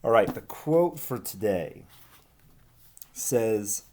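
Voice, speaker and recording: Low-pitched man, casual teacher talk. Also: expressive, extremely slow, mellow, slight background noise. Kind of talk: casual talk and children's read-aloud.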